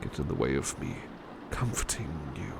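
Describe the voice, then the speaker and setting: A man playing a devoted, tender butler in an intimate ASMR roleplay, speaking in a hushed, sleepy, halting voice that often slips into whispers. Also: very deep voice, huge pitch swings, creaky, heavy background noise, heavily acted. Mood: protective, tender. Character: devoted, tender male butler comforting a beloved at bedtime.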